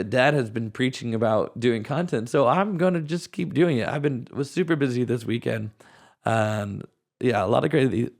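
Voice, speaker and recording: Casting note: hoarse, raspy man, loose and chatty solo podcaster, mid-pitched voice. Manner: casual, rambling, thinks aloud with restarts. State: hoarse from a cold. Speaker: podcast host. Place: at home.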